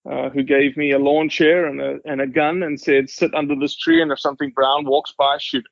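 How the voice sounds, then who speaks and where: A man's voice: on the high side, animated, fairly quick, creaky, no room sound, lo-fi sound. A South African man in his forties talking casually as a podcast guest, humble and dryly joking. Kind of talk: casual interview storytelling.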